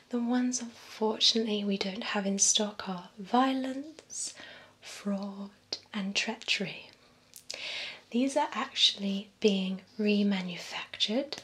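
A young woman playing a calm vampire salon attendant in a soft, breathy, gentle ASMR whisper. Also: mid-range voice, slight background noise, low-quality recording. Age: young adult. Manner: slow, gentle, deliberate delivery. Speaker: ASMR performer. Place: small indoor room with bookshelves.

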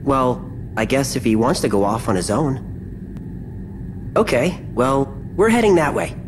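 An actor playing a friendly, earnest young warrior in a video game, eager and open. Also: male voice, mid-range voice, big pitch swings, measured pace. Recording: game audio over ambient noise.

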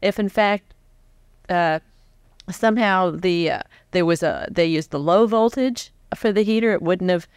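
Clear, animated American woman interviewer. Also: fairly low pitch, a little slow, no echo, studio quality. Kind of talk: conversational onstage interview. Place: stage before a live audience. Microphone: large foam-covered microphone on a stand close by.

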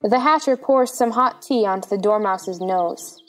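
A woman's voice: mid-range voice, unhurried. A woman reading scripted narration of stage directions for a play.

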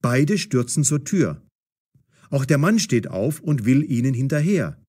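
A man reads a story aloud with lively, varied pitch. His voice has a husky edge, and he phrases each sentence deliberately, as a scripted audiobook narrator does.

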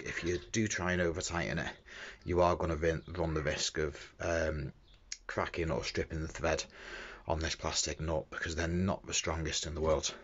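A man's voice: low-pitched, a bit bright, slightly rough. A middle-aged man giving a casual hands-on how-to talk as a tradesman, recorded on a handheld phone in a small enclosed work space. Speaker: window cleaner demonstrating a fitting. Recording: dull phone recording with background noise.

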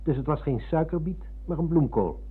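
A man playing a polite, insistent, probing middle-aged visitor in a radio drama, with a husky voice. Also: fairly high pitch, normal speed. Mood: coolly accusing. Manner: formally courteous, insistent. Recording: muffled, narrow-band old radio recording.

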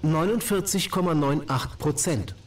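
A man's voice: on the high side, moderate pace, faint music behind, close to an everyday voice. A man voicing a precise, emotionless artificial intelligence analyst in a sci-fi video game. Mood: neutral, analytical. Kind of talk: video game dialogue acting.

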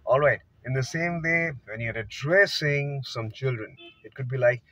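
A man's voice: medium pitch, moderate pace, no room sound. A man in his thirties with an Indian accent, giving an emphatic, informal English lesson into a phone inside a car. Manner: emphatic, demonstrative, swinging between soft and loud. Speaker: English teacher. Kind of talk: informal video lesson to camera. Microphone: phone at arm's length. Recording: dull lo-fi phone audio.

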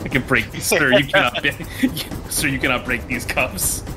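A man's voice: mid-range voice, normal speed. A man playing a tabletop game in casual banter, comically dramatic, swearing and often laughing. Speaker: player. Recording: music playing behind the voice. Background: music.

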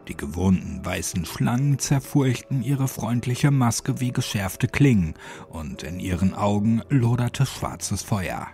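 A man's voice: on the low side, lively intonation, a little slow, darkish tone. A man reading a scripted horror audiobook as its narrator. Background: music.

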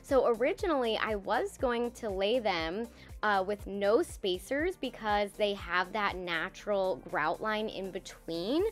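A woman's voice: medium pitch, expressive, unhurried, soft background music. A young woman narrating her own renovation video in a voice-over, enthusiastic and explanatory about the project. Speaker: DIY renovation vlogger. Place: voice-over, not recorded in the pictured bathroom.

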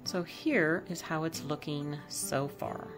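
A woman's voice: low-pitched, takes it slowly, music in the background. A woman chatting casually as she decorates her home kitchen, thinking aloud about each piece. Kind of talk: casual voice-over demo.

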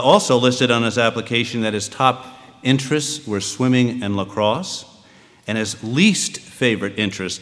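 A man's voice: mid-range voice, fairly bright tone. Echoey American man at a podium. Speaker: school official introducing a student speaker. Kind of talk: formal ceremony introduction. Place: podium on a stage in a large hall. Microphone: podium microphone. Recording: echoey large hall, long reverb.

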